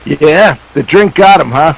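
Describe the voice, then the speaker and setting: A man's voice: fairly high pitch, measured pace, creaky, slight background noise, rough recording. A man playing a spiteful young henchman in a radio play, with sneering, slangy tough-guy talk. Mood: sneering, vengeful. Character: spiteful, trigger-happy young henchman of a villain. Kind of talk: 1940s radio play acting.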